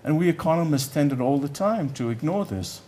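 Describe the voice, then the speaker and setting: A man's voice: on the high side, animated, normal speed, warm tone, slight background noise. An older man, a professor whose first language is Yiddish, giving a conference lecture in a conversational, blunt way, often stopping to search for words and repeating himself.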